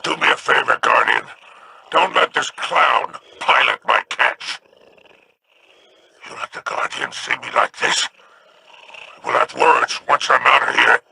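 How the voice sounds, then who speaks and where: A man voicing a greedy, sly alien crime boss in a video game, speaking in a haughty, scheming tone with a gravelly growl. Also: mid-range voice, measured pace, pauses often, noisy background. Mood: irritated.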